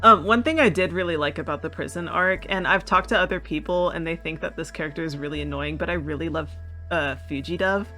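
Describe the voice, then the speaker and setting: A man's voice: fairly high pitch, brisk, pushed and bright, soft background music. A man chatting casually as a panelist in a fan podcast discussion.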